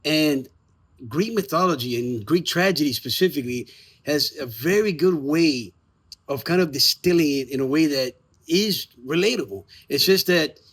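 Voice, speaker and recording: A man's voice: fairly high pitch, lively intonation, normal speed, fairly bright tone, breathy edge. A man speaking as a theater maker in a casual promotional chat, informal and emphatic. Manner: informal, emphatic, lightly profane. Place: outdoors in the evening.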